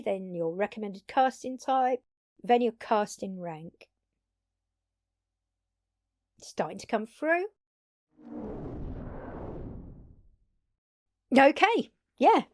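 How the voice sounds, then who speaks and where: A woman playing a brash, excitable undead vampire in an audio drama, talking casually and expressively straight to the listener with salty language and dramatic pauses. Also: mid-range voice, dry recording, studio quality. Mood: curious, pleased. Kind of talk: intimate audio drama roleplay addressed to listener.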